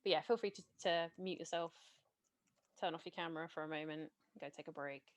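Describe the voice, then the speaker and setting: A woman with a somewhat low voice runs a tabletop game over a video call. She talks in a chatty, organising way. Her voice is a little hoarse from a long day of talking. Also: fairly even intonation, a little fast. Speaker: game master and host. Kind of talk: casual chat on a video call.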